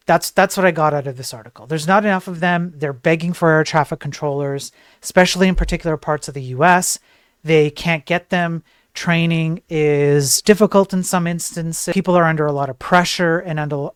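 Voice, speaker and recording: A man's voice: a little high, normal speed, clear, resonant tone, no echo. Man streamer with a dry, close sound. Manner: emphatic, digressive, with rhetorical questions. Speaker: tech news streamer and programmer. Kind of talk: opinionated news commentary on a livestream.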